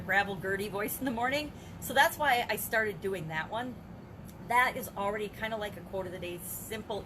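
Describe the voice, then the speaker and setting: A woman's voice: mid-range voice, background noise. An older woman and business coach talking through her day in a casual video journal, reflective, asking herself rhetorical questions and restarting her sentences.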